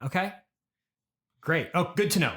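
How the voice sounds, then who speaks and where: A man hosting a comedy interview, hesitant and politely puzzled, keeping a deadpan tone. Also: sits high, unhurried. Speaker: podcast host, interviewer.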